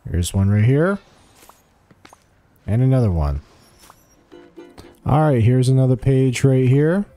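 Casual male gaming guide host, relaxed dark tone, thinking aloud as he plays, light music underneath. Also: medium pitch, slow. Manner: thinking aloud, talking to game characters. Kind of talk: casual video game walkthrough commentary. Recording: music behind the voice.

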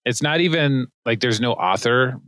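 A man's voice: mid-range voice, moderate pace, a bit bright, dry recording. A man hosting a podcast as an immigration attorney, chatting casually, joking and laughing often.

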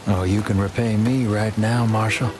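Actor as low, smug Old West hitman. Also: fairly even intonation, moderate pace, music in the background, lightly acted. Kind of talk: video game cutscene acting.

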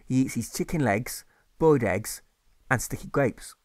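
A man's voice: medium pitch, lively intonation, moderate pace, a little mellow, no room sound, studio quality. A man narrating his own rhyming novel as an audiobook in a rhythmic, sing-song reading.

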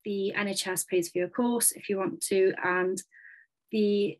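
A woman's voice: mid-range voice, steady tone, unhurried, a little mellow, poor audio. A young Welsh woman, a native Welsh speaker, talks through her studies in an upbeat, chatty way, with plenty of ums. She speaks into a webcam on a video call. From Wales. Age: 20s. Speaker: physiotherapy student. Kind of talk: presentation over slides.

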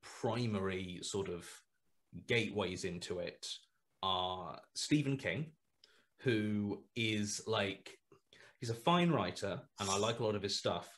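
Guest on a video call, lowish voice, talks in loose, restarting bursts. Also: male voice, slow pace. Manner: rambling, many restarts, animated asides. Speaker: guest, horror podcast writer. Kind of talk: casual video call interview. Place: small home room indoors. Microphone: headset mic on video call.

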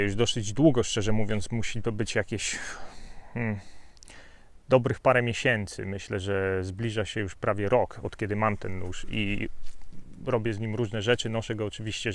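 A man in his thirties from Poland, reviewing a bushcraft knife in a relaxed, chatty way with fillers and asides, recorded outdoors on a hillside with a lapel mic. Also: on the low side, no echo. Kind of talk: casual outdoor gear review.

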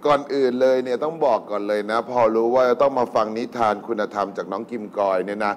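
Middle-aged guy judging a talent show, giving comments, mid pitch, bit of background noise. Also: fast talker. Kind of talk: spoken feedback to a contestant. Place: TV studio stage set.

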